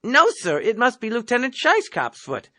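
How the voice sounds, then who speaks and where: A man's voice: very high voice, huge pitch swings, moderate pace, pushed and bright, pitched above the performer's own voice, heavily acted. A man reading an audiobook, voicing a meek, bumbling army major who answers nervously and deferentially. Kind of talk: audiobook character voice.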